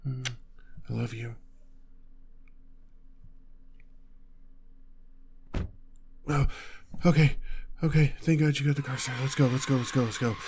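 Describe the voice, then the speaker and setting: A man's voice: medium pitch, gravelly, darkish tone, a little hiss or hum. A man playing a protective, anxious boyfriend on the run, stammering and tender, in an intimate audio roleplay. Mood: tender, tense. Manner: stammering, urgent, tender reassurance. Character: panicked, protective boyfriend fleeing a gunman with his partner.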